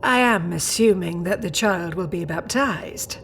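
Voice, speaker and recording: A woman's voice: lowish voice, unhurried, gravelly. Woman doing old-fashioned british mum in a radio play, prim n proud but doting, bit noisy recording. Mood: fond. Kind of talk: audio drama acting.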